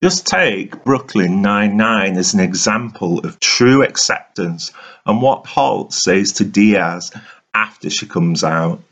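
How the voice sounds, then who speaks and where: Guy with a lowish voice doing a motivational speech reading, really slow and earnest, kinda dull lo-fi sound. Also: animated, a bit bright. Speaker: reader of a written monologue. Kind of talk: dramatic motivational spoken-word reading. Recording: dull, lo-fi recording.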